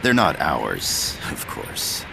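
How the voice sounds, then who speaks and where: An actor playing an earnest older professor who explains things in a formal, measured way, over game music. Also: male voice, extremely low pitch, wildly swooping pitch, creaky, noisy background, full character voice. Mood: explaining.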